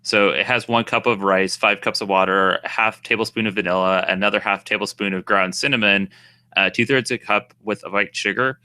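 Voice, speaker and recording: A man's voice: fairly low pitch, steady tone, a little fast, pushed and bright, smooth. A young man with a New England accent, co-hosting a casual podcast chat, rambling with lots of fillers and restarts. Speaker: podcast co-host.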